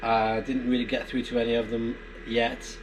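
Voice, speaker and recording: A man's voice: medium pitch, level tone, normal speed. Man chatting over background noise. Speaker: amateur radio vlogger. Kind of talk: casual explanatory vlog chat. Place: inside a barn. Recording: clear background noise in barn.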